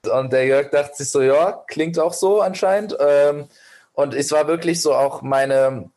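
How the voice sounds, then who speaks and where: A man's voice: on the high side, measured pace, a little mellow. A young man in his twenties, a startup founder, guest on a podcast, giving long earnest answers full of fillers and restarts. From Germany. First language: Persian. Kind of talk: podcast interview.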